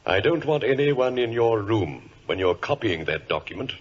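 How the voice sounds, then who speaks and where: An actor playing a grave, commanding senior government minister in a 1940s radio drama, solemn and confidential, with a husky voice. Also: male voice, lowish voice, very animated, normal speed, a little hiss or hum. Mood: solemn, secretive. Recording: muffled vintage radio recording.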